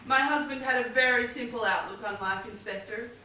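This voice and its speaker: A woman's voice: on the high side, brisk, bright, forceful tone. An actress on stage playing a wealthy, scatterbrained middle-aged widow under suspicion, airy and theatrical in her evasive innocence. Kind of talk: stage comedy acting. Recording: echoey theatre, distant mic, faint noise.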